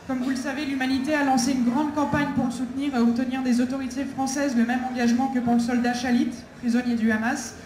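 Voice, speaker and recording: A woman's voice: sits high, darkish tone, slight background noise. A woman moderating a public debate, introducing a guest and asking questions in a formal manner. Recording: echoey PA.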